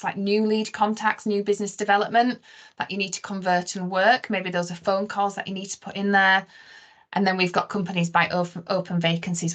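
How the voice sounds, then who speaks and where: A woman giving an informal, conversational software walkthrough as a webinar presenter, stressing points as she explains. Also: medium pitch.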